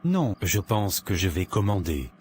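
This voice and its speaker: A man playing an irritable, self-assured and covetous married man in an animated drama, with a dismissive, grumbling manner. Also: low-pitched, measured pace, slight background noise. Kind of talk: scripted animated drama dialogue.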